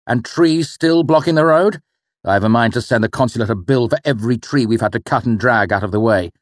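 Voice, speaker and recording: Brief: grumbling, exasperated actor dad, as a male narrator puts him on, a bit higher than the narration. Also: fairly quick, no echo, clean studio sound, lightly acted. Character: grumbling, irritable traveling actor father.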